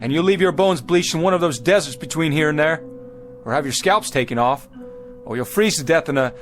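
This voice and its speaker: A man's voice: on the high side, lively intonation, a little fast, faint music behind, lightly acted. An actor playing a desperate pioneer wagon leader who pleads with his people in an urgent, stammering way. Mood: warning.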